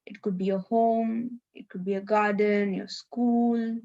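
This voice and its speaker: A woman leading a live guided meditation, giving gentle, unhurried instructions with long pauses, over a video call. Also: mid-range voice, fairly even intonation, lo-fi sound.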